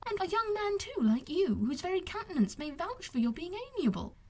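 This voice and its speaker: A woman voicing a spirited young gentlewoman in an audiobook, speaking in emphatic, formal period phrases. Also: very high voice, sing-song, brisk, pitched above the performer's own voice. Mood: indignant. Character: spirited young gentlewoman, shocked and indignant, easily sympathetic. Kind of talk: audiobook character dialogue.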